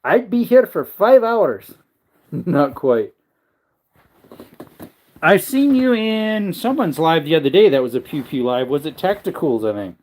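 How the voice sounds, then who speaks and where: A man's voice: fairly high pitch, very animated, measured pace, pauses often, a little mellow. A man hosting a casual livestream, rambling and joking while greeting viewers in the chat. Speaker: livestream host.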